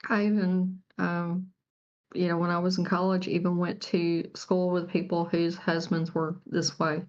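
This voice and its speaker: A woman's voice: lowish voice, flat delivery, measured pace, darkish tone. A woman from the United States co-hosting a casual podcast discussion in a matter-of-fact way, on a slightly dull, lo-fi microphone. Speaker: podcast co-host.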